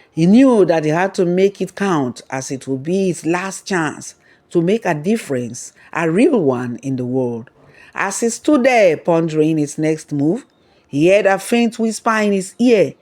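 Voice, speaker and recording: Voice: mid-range voice, a little mellow. A storyteller reading a scripted folktale narration.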